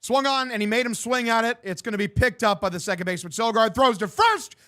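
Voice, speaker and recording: High-pitched young male sports streamer. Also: lively intonation, fast, pushed and bright. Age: 20s. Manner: profane, slangy, bro-like talk. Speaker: sports streamer calling a baseball game and answering chat. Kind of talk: casual livestream chat mixed with baseball play-by-play.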